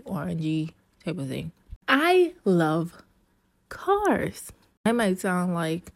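Chatty young woman, low voice, dry sound. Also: creaky, no room sound. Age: young adult. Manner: chatty, laughing, talks straight to listeners. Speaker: podcast host. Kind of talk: casual solo podcast chat. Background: rain.

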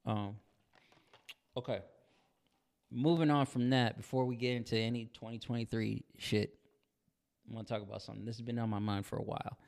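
A man's voice: mid-range voice, fairly even intonation, brisk, creaky, long pauses, a little mellow. A young American man co-hosting a podcast, talking casually with a friend, with slang and some swearing. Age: young adult. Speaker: podcast co-host.

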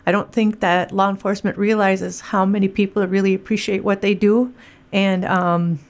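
Woman organizer talking up an event, earnest, pretty flat even voice. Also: mid-range voice, moderate pace. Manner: earnest, steady, promotional. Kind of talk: casual interview.

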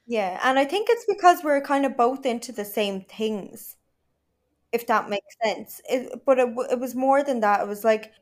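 A woman's voice: on the high side, animated, normal speed, a little mellow. A young Irish woman chatting as a podcast guest, gushing and filling her talk with "like". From Ireland. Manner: gushing, lots of "like". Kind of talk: casual chat.

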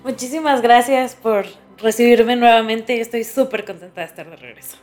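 A woman's voice: a little high, normal speed, a little mellow, faint music behind. A woman co-hosting a podcast in casual, conversational chat. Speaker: podcast co-host.

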